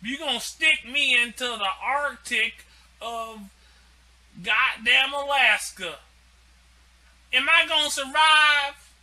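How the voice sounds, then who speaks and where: A man voicing a brash, boastful male redneck worker from Alabama, loud and shouting. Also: extremely high pitch, slow, long pauses, full character voice, poor audio.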